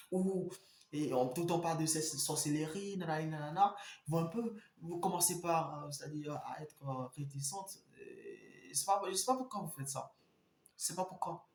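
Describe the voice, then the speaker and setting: A young man spins a story for his viewers, animated and full of repetitions. He often turns to address the audience directly. His voice sits a bit high for a man, and the room gives it a clear echo. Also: moderate pace. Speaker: vlogger telling a story. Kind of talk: casual storytelling monologue.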